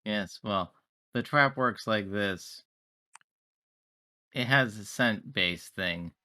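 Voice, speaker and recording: A man playing a tabletop roleplaying game, improvising in character with formal, deliberate wording. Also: on the low side, sharp tone, no echo, studio quality.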